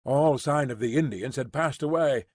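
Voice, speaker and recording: A man narrating an audiobook in a measured, even storytelling manner, close to the mic. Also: mid-range voice, no echo. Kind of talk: scripted narration.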